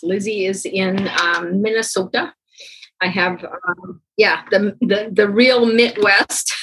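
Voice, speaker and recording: A woman whose first language is Pennsylvania Dutch, answering interview questions hesitantly with many pauses and restarts. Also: mid-range voice, sharp tone, husky, low-quality recording. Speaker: guest, co-author.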